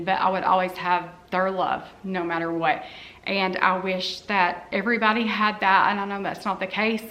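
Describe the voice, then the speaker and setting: A woman in her forties speaks to her camera in a loose, heartfelt ramble, often pausing on an "um." Her voice sits at an ordinary middle pitch but has a bright, forceful edge. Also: low-quality recording. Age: 40s. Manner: earnest and heartfelt, rambling with frequent "um"s. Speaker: cleaning vlogger. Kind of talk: casual talk to camera.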